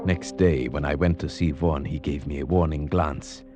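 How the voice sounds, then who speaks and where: A man with a very deep voice narrates an old-time radio thriller in a measured, suspenseful manner. Clear music plays behind him on the vintage recording. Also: fairly even intonation. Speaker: narrator and lead actor. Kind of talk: 1940s radio drama narration.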